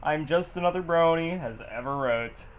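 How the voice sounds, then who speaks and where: A man's voice: on the high side, moderate pace, lo-fi sound. A man bantering casually and teasingly as a co-host who eggs a reader on. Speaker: heckling co-host egging the reader on.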